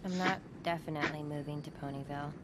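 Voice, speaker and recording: A woman voicing a stoic young female pony in a cartoon, speaking in a flat, deadpan monotone. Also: low-pitched, quick pace, very noisy, close to an everyday voice. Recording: show clip with music behind.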